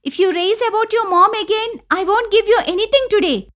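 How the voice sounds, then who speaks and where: A woman with an Indian accent voicing a selfish, bossy young wife in an animated story, shrill and commanding. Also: fairly quick. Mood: bossy, demanding.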